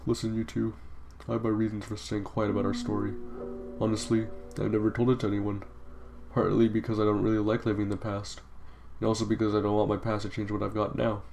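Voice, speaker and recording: A man's voice: lowish voice, monotone, brisk, creaky, darkish tone, a little hiss or hum. A man playing a guarded, laid-back skeleton older brother in a fan comic dub, with casual, slangy speech.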